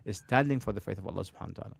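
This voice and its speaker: A man giving a fiery religious lecture in a played clip, repeating his phrases in a forceful, rapid delivery. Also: on the low side, creaky, slightly rough, no echo.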